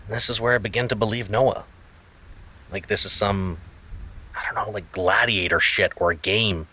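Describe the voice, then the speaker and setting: An actor playing an investigator who casually thinks aloud as narrator of an audio drama. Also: male voice, lowish voice, fairly even intonation, normal speed, bright, forceful tone, breathy edge. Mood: intrigued, speculating.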